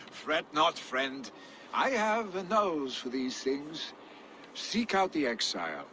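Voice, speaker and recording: An actor playing a confident young Viking warrior who speaks in an easygoing, assured way. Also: male voice, on the high side, big pitch swings, slow, sharp tone, slight background noise, full character voice. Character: confident Viking son of the clan.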